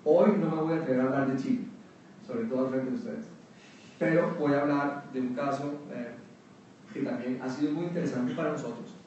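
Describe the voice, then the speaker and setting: A Colombian man with a fairly neutral accent gives a measured academic talk at a round table, pausing often with fillers and restarts. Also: mid-range voice, a little mellow, muffled recording. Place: conference hall. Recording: distant mic in a very echoey hall with faint room noise.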